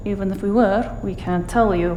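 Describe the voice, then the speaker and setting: A woman's voice: mid-range voice, steady tone, normal speed, natural voice. A woman playing a curt, exasperated operative in a noir audio drama, blunt and impatient in her dialogue. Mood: irritated. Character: curt, exasperated tough female operative, Stranger's partner. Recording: roomy echo, clear background ambience noise.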